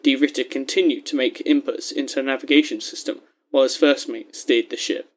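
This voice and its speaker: A man with a medium-pitched voice narrates an audio story. He reads scripted prose in a measured way, setting each scene with descriptive care. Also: fairly even intonation.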